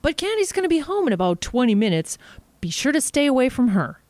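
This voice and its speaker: Casting: middle-aged wife and mother, reproachful and scornful, very expressive pitch, dry studio-close sound, realistic audio drama. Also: fast, dry recording. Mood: cold, scornful. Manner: accusing, scornful. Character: reproachful, cutting churchgoing wife and mother of a teenager. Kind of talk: naturalistic audio drama acting.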